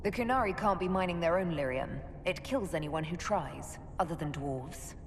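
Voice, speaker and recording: An actress playing a composed, resolute female leader with a direct, measured manner in a video game. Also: lowish voice, animated. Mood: questioning. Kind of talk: dramatic video game dialogue. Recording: cavernous reverb with loud background noise.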